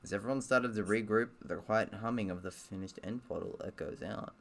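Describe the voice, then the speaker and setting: A man's voice: on the low side, brisk. A man reading a game aloud in a casual let's-play style, breaking off for self-deprecating, sweary asides.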